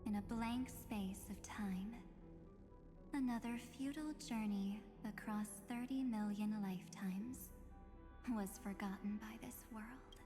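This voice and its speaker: Game role: actress as composed, cryptic woman guarding memories, measured storytelling, reverb over music. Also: medium pitch, close to an everyday voice. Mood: grave, knowing. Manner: measured, enigmatic, theatrical. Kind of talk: video game voice acting, storytelling.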